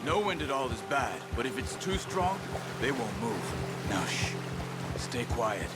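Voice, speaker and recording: Loud music runs behind a stoic outlaw hunter in a western video game. He keeps his words few and his delivery calm and instructive. His voice sits at an ordinary male pitch. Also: a little slow. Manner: quiet, terse, instructive.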